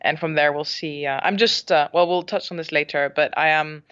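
Low-voiced young woman on a call. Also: fairly even intonation, brisk, a bit bright. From Spain. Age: 20s. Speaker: podcast guest. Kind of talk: conversational interview answers. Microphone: remote call. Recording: muffled lo-fi call audio.

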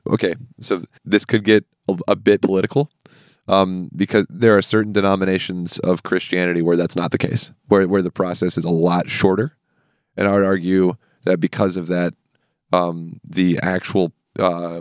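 A man's voice: sits low, flat delivery, normal speed, no room sound, clean studio sound. An American man in casual conversation, explaining things and carefully qualifying his own points. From US South.

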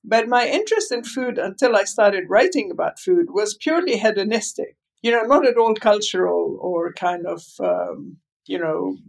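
A woman in her seventies from Lebanon speaks as a guest in an interview, full of fillers, asides and restarts. Her voice carries a gravelly, creaky texture at a middle pitch. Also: expressive, moderate pace. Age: 70s. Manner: talkative, meandering, many fillers and restarts. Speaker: guest, food writer. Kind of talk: interview over a call.

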